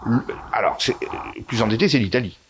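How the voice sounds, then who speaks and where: A man's voice: mid-range voice, animated, quick pace, a little hiss or hum. A man of Italian origin, a guest in a political interview, talking with many fillers and restarts and pushing hard on key words. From Italy. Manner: hesitant, frequent fillers and restarts, emphatic rises.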